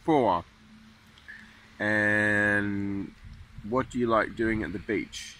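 A man's voice: fairly low pitch, extremely slow, bright, forceful tone. A man in his thirties, a father filming his young son on a boat and coaxing him along in a playful, encouraging way. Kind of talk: casual family vlog. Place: outdoors on a small motorboat on open water. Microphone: phone camera held close. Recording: windy outdoor phone recording. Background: wind noise and boat engine.

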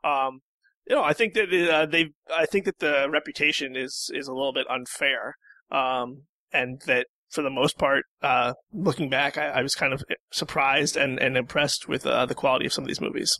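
Bright-voiced man in casual discussion. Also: mid-range voice, fairly even intonation, normal speed, dry recording, low-quality recording. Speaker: podcast guest.

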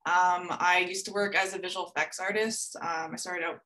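A woman writer-director introducing herself on a video-call panel, clear and composed. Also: fairly low pitch, fairly even intonation, moderate pace, bright, forceful tone, a little hiss or hum, poor audio. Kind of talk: casual panel talk. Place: home room, video call.

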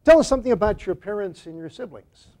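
A man's voice: high-pitched, animated, quick pace, warm tone. A man who is a priest and university archivist, formally introducing and questioning a guest in an oral history interview. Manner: formal, courteous, frequent affirming murmurs.

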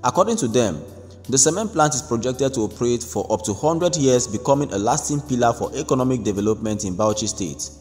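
A man narrating a scripted news report in a steady, even read-aloud delivery. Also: mid-range voice, brisk. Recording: long reverb with faint background music.

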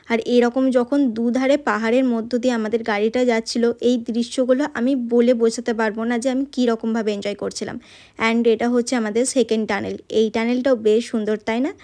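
A woman's voice: fairly high pitch, a little mellow. A woman narrating her road trip vlog in a chatty voice-over, talking straight to her viewers. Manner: chatty, addresses viewers directly with tag questions. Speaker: travel vlogger.

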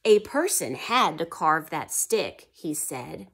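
A woman's voice: deep voice, very animated, slow pace, fairly bright tone, slightly rough. A woman teaching history to young children, reading aloud and stopping to ask and answer her own questions, sometimes dropping to a whisper. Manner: asks children questions and answers them herself; drops to a whisper for suspenseful parts.

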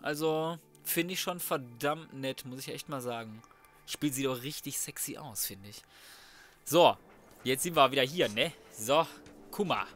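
A man's voice: medium pitch, unhurried, a bit bright. A man giving casual, colloquial let's play commentary, swearing and reacting aloud as he plays. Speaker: gaming YouTuber. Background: video game sound and music.

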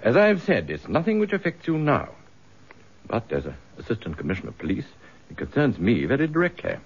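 Very animated, formal British police chief. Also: male voice, medium pitch, breathy edge, exaggerated voice. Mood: guarded, firm. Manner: formal, avuncular, halting when evasive. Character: authoritative, guarded male assistant police commissioner, measured and courteous. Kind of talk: radio drama acting. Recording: dull, lo-fi radio recording.

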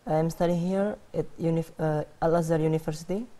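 A man's voice: fairly high pitch, fairly even intonation, fairly quick, warm tone. A young man in his twenties from Indonesia, a quiz contestant giving short, hesitant answers in a studio. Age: 20s. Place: TV studio set.

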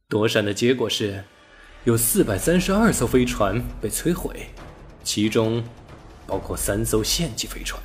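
A man reading a science fiction novel aloud as an audiobook narrator, steady and storytelling, lifting his voice on key words. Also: on the low side, unhurried, soft background music. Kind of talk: scripted sci-fi novel narration.

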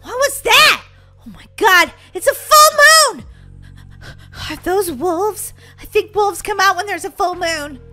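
A woman's voice: very high voice, moderate pace, few pauses, a bit bright, soft background music. A woman voicing a frightened young orphan girl on the run in a children's gaming roleplay, talking to herself in dramatic exclamations. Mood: terrified. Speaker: gaming channel host.

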